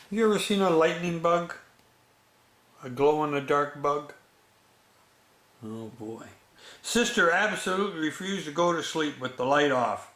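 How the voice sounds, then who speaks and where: A man with a slightly high voice reads a bedtime story aloud. He goes very slowly, with expressive pauses and a chatty manner toward children. The room is noticeably echoey.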